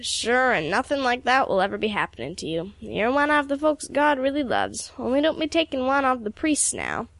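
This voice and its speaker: A woman's voice: high-pitched, sing-song, moderate pace, vocal fry, few pauses, fairly bright tone, no room sound, full character voice. A woman voicing a kindly old countryman in a storybook, folksy, coaxing and teasing. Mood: fond, advising.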